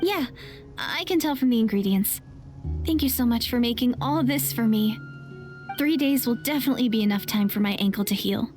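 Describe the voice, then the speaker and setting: A high, youthful female game character acted by a woman. The young traveler speaks earnestly and politely. Music plays behind the voice. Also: a little fast, lightly acted. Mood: grateful. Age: young adult. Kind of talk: video game voice acting.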